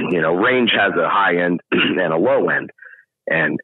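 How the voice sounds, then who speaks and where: A middle-aged man with a low baritone voice talks through an interview, thinking aloud and restarting his sentences often. His voice comes over a narrow, phone-like line with no room sound at all. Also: lively intonation, slow pace, slightly rough, dry recording. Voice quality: deep baritone speaking voice. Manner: thoughtful, explains at length with many restarts. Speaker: guest singer. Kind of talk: casual interview chat.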